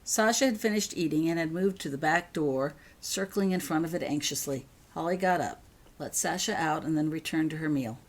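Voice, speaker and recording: Female narrator, low and husky, for author-read fiction audiobook. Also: normal speed. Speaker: author reading own fan fiction.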